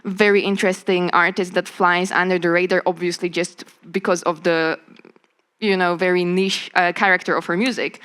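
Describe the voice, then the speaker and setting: A woman's voice: on the low side, little pitch movement, normal speed, a bit bright, clean tone. A woman with a Polish accent speaking as a conference panelist, searching for words with many fillers. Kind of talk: panel discussion.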